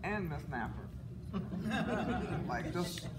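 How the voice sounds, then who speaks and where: A woman with a raspy voice presenting a workshop and quizzing the audience. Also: on the low side, very slow. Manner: animated, questioning the audience. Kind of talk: interactive workshop talk. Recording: echoey room, distant mic, noisy.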